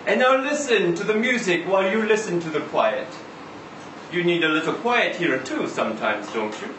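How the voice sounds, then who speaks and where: A man's voice: high voice, sing-song, a bit bright. Male actor as chatty Israeli cab driver, swooping intonation, insistent, sentimental, live monologue, distant echoey mic. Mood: wistful, warm. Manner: conversational, halting, with rhetorical questions and repeated tags. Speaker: reader at a live literary event. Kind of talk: dramatic monologue reading of a short story. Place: indoor live reading event. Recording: roomy live venue, distant mic, faint noise.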